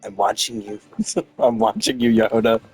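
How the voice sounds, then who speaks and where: A man's voice: fairly low pitch, very animated, normal speed, gravelly, a little mellow, husky, faint music behind. A man giving casual commentary as he streams a game playthrough.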